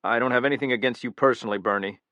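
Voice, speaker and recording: A man's voice: medium pitch, rapid-fire, bright, forceful tone, no room sound, close to an everyday voice. A man voicing a bitter, menacing male killer who makes threats and flares into anger, in an audiobook reading. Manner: threatening, rising to angry outbursts.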